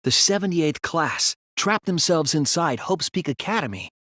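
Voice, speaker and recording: A man voicing an earnest, thoughtful teenage detective in a video game, reasoning aloud in a deliberate, resolute way. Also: fairly high pitch, lively intonation, bright, forceful tone, dry recording, clean studio sound. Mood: grave, reasoning. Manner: deliberate, reasoning aloud, emphatic when refuting. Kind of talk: English video game voice acting, dramatic deduction scenes.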